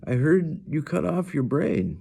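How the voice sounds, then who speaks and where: Man reading as a mild, shy mother, lifted pitch. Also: sing-song, a little slow, creaky, warm tone, slightly rough, exaggerated voice. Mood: tentative. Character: shy, caring mother of two children.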